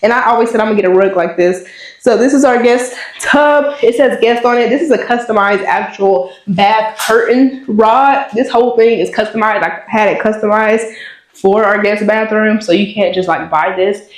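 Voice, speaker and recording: A woman's voice: medium pitch, normal speed. A young woman in her twenties with a congested voice, chatting casually to viewers while giving an apartment tour. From Dallas, Texas. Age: 20s. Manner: chatty, addresses viewers as y'all. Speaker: vlogger. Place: apartment indoors. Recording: echoey apartment rooms.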